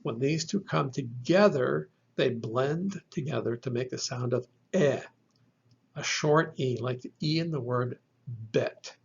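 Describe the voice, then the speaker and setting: Slow, gravelly man teaching pronunciation. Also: mid-range voice, dull audio. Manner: slow, methodical, repeats each sound for the listener. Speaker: Greek language teacher. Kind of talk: recorded lecture on pronunciation.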